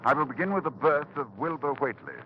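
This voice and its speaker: A man narrating a horror radio drama in a grave, measured storytelling manner. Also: fairly high pitch, animated, very noisy. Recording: dull old radio broadcast.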